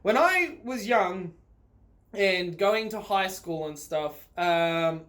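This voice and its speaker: High-voiced Australian man telling a story. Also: animated, slow pace, fairly bright tone. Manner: rambling, informal, sweary. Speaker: vlogger. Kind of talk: casual storytelling vlog. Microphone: phone.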